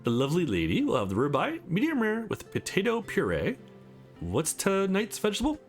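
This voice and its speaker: Man voicing cocky, animated male dinner date. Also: sits high, fairly quick, faint music behind, voice pushed up. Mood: assured. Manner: dry, bantering.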